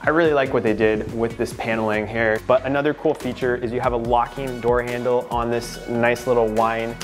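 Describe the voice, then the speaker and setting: A man's voice: mid-range voice, little pitch movement, moderate pace, faint music behind. A man in his thirties, a real estate agent narrating a walkthrough of a house in an upbeat, informative way. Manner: upbeat, informative salesman patter. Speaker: real estate agent giving a home tour. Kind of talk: walkthrough home tour narration. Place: inside a renovated house.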